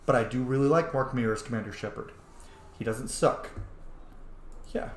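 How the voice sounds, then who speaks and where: A man's voice: medium pitch, moderate pace. A man giving casual let's play commentary while roleplaying a video game, rambling and thinking aloud. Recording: roomy echo, faint background noise. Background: video game ambience.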